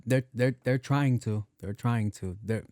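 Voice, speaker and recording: Soft-voiced man, mellow and dry. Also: unhurried, no room sound. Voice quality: soft, not deep. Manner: loose and profane, with many restarts and repeats. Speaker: podcast host. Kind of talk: casual rambling monologue.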